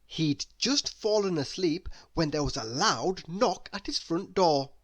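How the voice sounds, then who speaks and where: Slow, animated woman reading a storybook. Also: sits low. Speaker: storybook reader. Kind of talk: expressive children's story narration.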